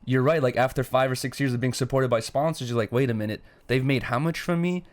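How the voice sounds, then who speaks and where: Quick casual young male host. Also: mid-range voice. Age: 20s. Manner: relaxed, slangy, curious, says "you know what I mean" a lot. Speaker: podcast host. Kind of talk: casual podcast chat.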